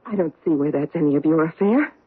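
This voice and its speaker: Guarded, animated American society wife. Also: female voice, deep voice, breathy edge. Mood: uneasy, guarded. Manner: brisk, defensive, clipped. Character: guarded, proper married society woman hiding her origins. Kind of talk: radio mystery drama acting. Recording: muffled narrow-band old radio broadcast.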